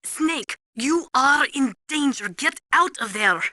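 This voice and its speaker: Bright, serious weapons analyst. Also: female voice, high-pitched, expressive, no echo, clean studio sound, heavily acted. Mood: urgent, concerned. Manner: precise, matter-of-fact, measured. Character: serious, brisk female military weapons analyst. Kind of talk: video game voice acting.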